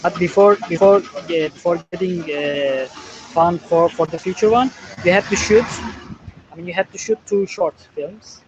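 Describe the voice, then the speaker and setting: Halting Turkish-born filmmaker on a call. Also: male voice, high voice, muffled recording, background noise. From Turkey. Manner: halting, searching for words, many "I mean"s. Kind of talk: panel discussion.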